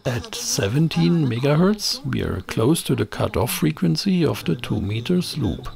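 A middle-aged man reading a scripted technical tutorial in a measured, explanatory way into a close microphone. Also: medium pitch, very animated, creaky, a little mellow. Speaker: presenter of an electronics tutorial channel. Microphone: close voice-over microphone.

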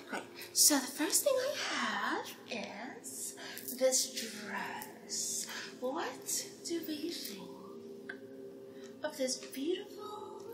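A woman playing a seductive, teasing demoness hostess in an ASMR roleplay, speaking in breathy half-whispers and lingering on repeated words. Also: sits high, exaggerated voice. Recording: roomy echo, clear background noise.

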